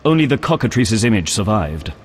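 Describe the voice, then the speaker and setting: An actor playing an earnest, pious knight in a video game, speaking in a formal, courteous and solemn manner. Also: male voice, lowish voice, very animated, a little fast, breathy edge. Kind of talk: video game character acting. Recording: long echoing reverb.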